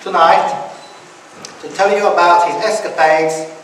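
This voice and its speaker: A man's voice: sits high, fairly even intonation, unhurried. A man acting as master of ceremonies, introducing the next speaker in a playful, teasing way. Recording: echoey hall, distant mic, noisy.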